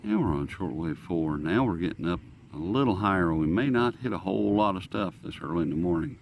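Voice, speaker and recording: A man's voice: deep voice, expressive, fairly quick, gravelly, a little hiss or hum. A man hosting a hobby channel, giving a casual, unscripted demonstration in a folksy, rambling way as he muses aloud. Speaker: radio hobbyist channel host. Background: shortwave radio being tuned.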